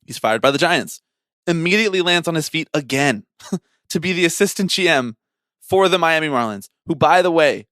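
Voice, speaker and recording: A man's voice: a little high, lively intonation, moderate pace, fairly bright tone, no room sound, studio quality. A man co-hosting a casual sports podcast, telling stories in a wry, opinionated way. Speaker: podcast co-host.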